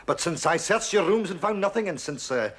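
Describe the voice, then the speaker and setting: An actor playing a formal, deferential but shrewd police inspector with a Scottish accent and a husky voice in a period drama. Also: male voice, sits high, animated, a little fast, fairly bright tone. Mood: apologetic, formal. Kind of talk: period television drama dialogue.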